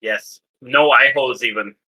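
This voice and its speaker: A man talking casually as a player in a tabletop game, in a thoughtful, deliberate manner. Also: medium pitch, bright, forceful tone, poor audio.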